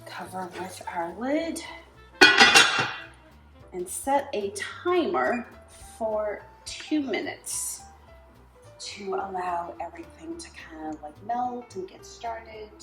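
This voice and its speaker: A woman hosts a home cooking show at an unhurried pace. She walks through each step in a chatty, relaxed way. The kitchen sounds roomy and echoey, with music playing under her voice. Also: mid-range voice.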